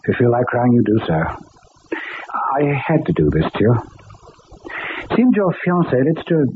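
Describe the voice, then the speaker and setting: A seasoned, methodical police inspector, brought to life by an actor, in an old radio mystery. He is polite but firm, with a lively, rising and falling delivery. The broadcast sounds thin and phone-like, with a loud hiss behind it. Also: medium pitch, natural voice. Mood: measured. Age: older. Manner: measured, polite, authoritative. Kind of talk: vintage radio drama acting.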